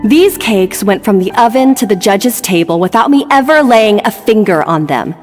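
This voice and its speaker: A woman's voice: mid-range voice, lively intonation, moderate pace, a little mellow, big-room sound, faint music behind, close to an everyday voice. An actress voicing a smug, ambitious female rival chef in a video game who taunts with sarcasm. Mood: taunting.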